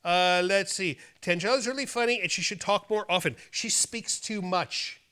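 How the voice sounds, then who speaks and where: A man's voice: high voice, moderate pace, sharp tone, clear, resonant tone. A man hosting a show, reading out mail and bantering in a theatrical, playful way. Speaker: host of a horror movie show.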